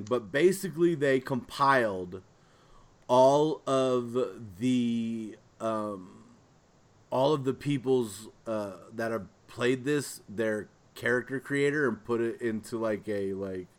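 A man with a mid-pitched voice co-hosts a podcast. He chats casually and loosely, rambling as he works his way through a thought. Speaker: podcast co-host. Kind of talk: casual chat.

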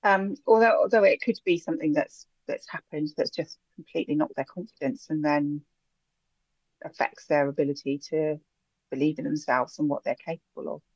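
A woman's voice: low voice, normal speed, slightly rough, no echo, dull audio. Chatty woman podcast host. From England. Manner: chatty, giggly, hesitant with many ums. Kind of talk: casual podcast chat.

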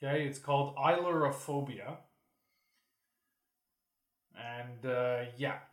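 A man's voice: medium pitch, takes it slowly. A man chatting casually as a podcast co-host, posing questions in an opinionated, joking way and swearing freely. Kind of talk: casual chat. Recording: roomy, echoey sound.

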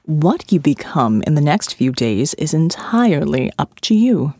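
A woman voicing a smooth, elegant male captor who calmly lectures his prisoner in an audiobook. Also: deep voice, measured pace, no room sound, clean studio sound, pitched below the performer's own voice, heavily acted. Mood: smoothly menacing. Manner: calm, smooth and menacing, lecturing. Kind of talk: audiobook character voice.

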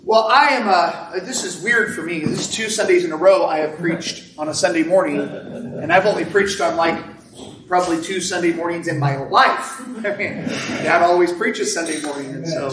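Loud-voiced preacher in a roomy church. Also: male voice, a little high, moderate pace, noisy background. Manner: loud, conversational preaching with asides to the congregation. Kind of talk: sermon. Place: church sanctuary. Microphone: no microphone, recorded from a distance. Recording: roomy, distant church recording.

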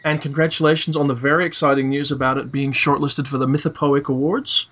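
A man hosting a podcast over an internet call, chatting casually about books in a halting way, often repeating and rephrasing himself. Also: on the high side, level tone, fairly bright tone, dull audio. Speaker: podcast host. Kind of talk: casual literary discussion.

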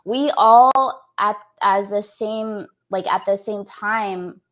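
A woman's voice: mid-range voice, slow, low-quality recording. A woman in her thirties from Canada, an executive coach speaking earnestly as a podcast guest, with lots of "like" fillers. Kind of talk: podcast interview.

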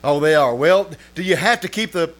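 A man's voice: a little high, expressive, a little fast, a bit bright. A man playing a distracted, defensive husband in an old-time radio comedy, hesitant and exasperated.

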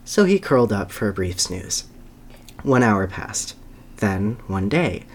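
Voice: low-pitched, sing-song, slow. A podcast co-host giving an amused dramatic reading of a children's story, breaking into asides.

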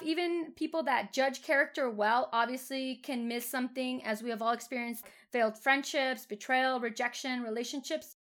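A woman's voice: sits high, a bit bright. A woman who is a professional therapist, co-hosting a podcast in casual chat, explaining patiently and laughing often.

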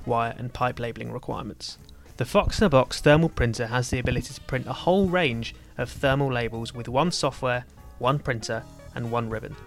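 A man delivers a scripted advert in a smooth, promotional voiceover. Faint music plays beneath his voice throughout. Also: medium pitch. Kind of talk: scripted ad read.

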